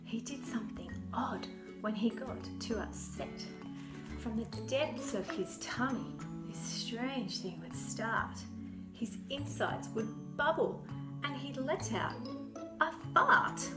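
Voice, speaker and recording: Female storybook narrator: playful, dramatic, unhurried, highly expressive, music underneath. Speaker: author reading own book. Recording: roomy echo, music behind.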